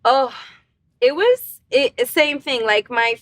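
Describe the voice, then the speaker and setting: A young adult woman from Miami, answering interview questions in a relaxed, slightly hesitant way. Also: high voice, slow. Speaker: guest author.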